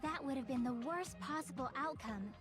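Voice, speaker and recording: A woman's voice: high voice, lively intonation, normal speed. A woman playing an earnest, formally spoken teenage girl in an anime video game. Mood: reasoning. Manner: formal, careful, precise. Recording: game music playing behind the voice.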